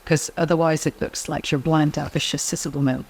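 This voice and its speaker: A middle-aged woman speaks quietly and evenly as an interview guest. She gives advice in a calm, measured way. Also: low-pitched, slightly rough. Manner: soft-spoken, measured, advice-giving. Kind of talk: interview conversation.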